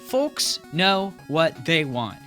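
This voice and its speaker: Lively bright-voiced male essay narrator. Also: on the high side, very slow, faint music behind. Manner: wry, punchy list delivery. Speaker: video essay host. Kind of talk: scripted comedic video essay narration.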